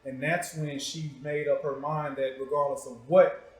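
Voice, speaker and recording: A male show host with a somewhat high voice speaks in a conversational interview style. He is direct and probing in his delivery. The room around him rings with a clear echo. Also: fairly even intonation, moderate pace. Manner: probing, short direct questions and prompts. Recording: echoey room.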